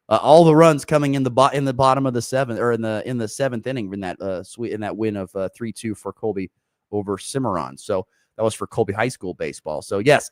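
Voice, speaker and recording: A man hosting a local morning sports talk radio show, a bit hoarse from a sore throat, upbeat and rambling with many fillers. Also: on the low side, no echo, clean studio sound.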